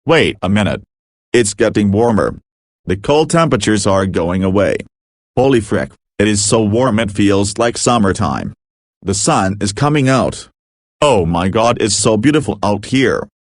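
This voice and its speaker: A man's voice: lowish voice, expressive, measured pace, no echo, clean studio sound. A man playing a frazzled emergency broadcast announcer in an amateur doomsday drama, mixing formal bulletins with slangy exclamations. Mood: relieved. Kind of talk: amateur scripted emergency broadcast narration with informal outbursts.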